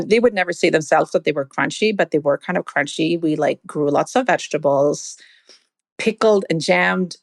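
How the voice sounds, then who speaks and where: A woman's voice: low-pitched, creaky, no echo, studio quality. A young adult woman from Sweden, a podcast guest, telling reflective stories about her family in a casual conversation. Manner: reflective, warm storytelling with many "like" fillers.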